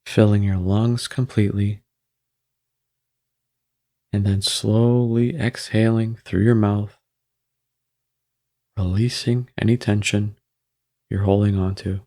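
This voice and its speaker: A man guiding a meditation in a gentle, measured way, with frequent pauses between phrases. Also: fairly low pitch, steady tone. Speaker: meditation guide. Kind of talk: guided meditation narration.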